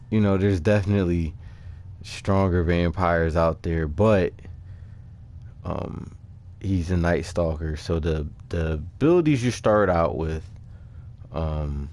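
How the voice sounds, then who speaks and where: Low-voiced man casually explaining a game build. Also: a little mellow. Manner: halting, informal slang. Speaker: gaming video creator presenting character builds. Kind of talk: casual unscripted gameplay walkthrough commentary.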